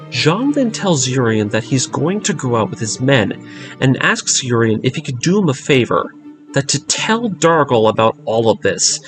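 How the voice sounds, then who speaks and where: Man narrating a story recap over music. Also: mid-range voice. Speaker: narrator of an anime story breakdown series.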